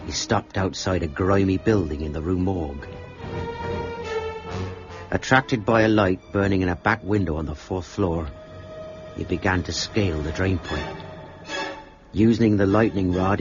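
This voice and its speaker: An actor playing a deferential, shaken sailor confessing to a horror in an earnest radio drama style. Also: male voice, deep voice, lightly acted. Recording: muffled, with music behind.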